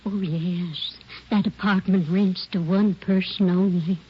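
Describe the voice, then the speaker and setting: Casting: fussy, talkative landlady, leisurely delivery, slightly husky, vintage radio play, dulled sound. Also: on the low side, fairly even intonation. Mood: particular, chatty. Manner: unhurried, chatty, wistful asides. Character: talkative, particular landlady fond of her tenant. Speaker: landlady questioned by police. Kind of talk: 1940s radio drama acting. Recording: muffled 1940s radio recording.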